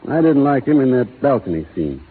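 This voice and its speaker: An actor playing a laconic young cowboy who talks in a slow, folksy rural drawl, in a 1930s radio drama. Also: male voice, mid-range voice, very animated, slightly rough. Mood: opinionated. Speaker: lead actor in a radio play. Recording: tinny 1930s radio broadcast with faint hiss.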